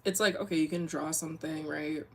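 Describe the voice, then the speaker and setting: A young woman with a deep voice, sniffling, chatting casually on a drawing livestream and rambling with lots of fillers. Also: fairly even intonation, fairly quick, vocal fry, a little mellow, a little hiss or hum. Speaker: comic artist streamer.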